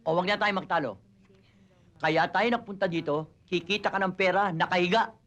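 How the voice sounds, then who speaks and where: An actor playing a greedy, fast-talking hustler who manages a would-be stunt double and haggles hard over money. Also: male voice, high voice. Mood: coaxing. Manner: haggling, bossy, quick to shout. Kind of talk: Filipino comedy film acting.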